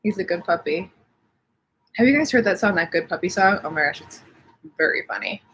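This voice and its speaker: A woman's voice: on the low side, expressive, fairly quick, fairly bright tone, slight background noise, poor audio. A young woman with slightly sniffly allergies, chatting casually from home on a live stream and reading out viewer comments. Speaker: livestream host.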